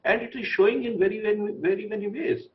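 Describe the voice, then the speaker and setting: A man with an Indian accent commenting on a live TV debate as a diplomat panelist, emphatic, with halting phrasing. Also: high voice, highs cut off. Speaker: former ambassador as panelist.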